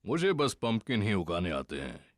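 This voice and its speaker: A man's voice: lowish voice, wildly swooping pitch, normal speed, background noise. A man voicing a proud, good-natured, hearty father pig in a children's cartoon. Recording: cartoon soundtrack music behind.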